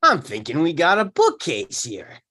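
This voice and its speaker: A man's voice: fairly high pitch, huge pitch swings, moderate pace, sharp tone, no echo, full character voice. Man playing brash young guy, swooping intonation. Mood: casual. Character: blunt, girl-chasing young man among his buddies. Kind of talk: sitcom script table read.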